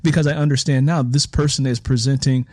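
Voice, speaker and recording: A man's voice: mid-range voice, fairly even intonation, brisk, darkish tone, dry recording, clean studio sound. A man interviewing as a fellow writer, explaining his thoughts in a reflective, conversational way and circling back over phrases.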